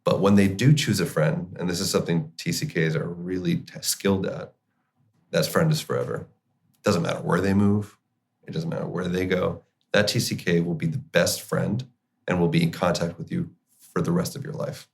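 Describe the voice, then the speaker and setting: Male psychologist guest, deep and creaky, informal conversational explainer. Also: fairly even intonation, normal speed. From Chile and the United States. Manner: informal, uses slang like kiddo and buddy. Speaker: clinical psychologist, podcast guest.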